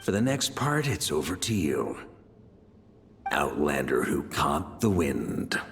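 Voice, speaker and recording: Very deep-voiced knight commander. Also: male voice, big pitch swings, takes it slowly, creaky, noisy background, full character voice. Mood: calm, musing. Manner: casual, wry, musing. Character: seasoned knight commander, bold, easygoing, wry, unflappable. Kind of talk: video game acting. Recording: music behind the voice.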